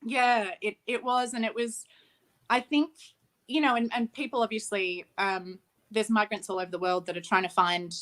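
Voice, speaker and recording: A woman's voice: medium pitch, steady tone, normal speed. Reflective woman filmmaker. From Australia. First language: Ukrainian. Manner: warm, reflective, laughs easily, restarts phrases. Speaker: film director. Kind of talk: interview guest.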